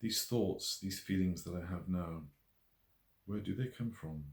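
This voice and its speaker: A man reading a novel aloud as its author, in measured, expressive literary narration. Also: deep voice. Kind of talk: scripted audiobook narration. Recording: roomy echo.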